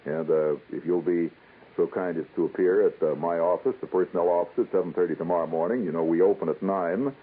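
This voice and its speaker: Man doing a halting, officious American personnel manager. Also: low voice, husky. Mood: courteous, businesslike. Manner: formal, hesitant, halting with many uhs. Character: polite, officious department store personnel man. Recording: dull lo-fi radio broadcast.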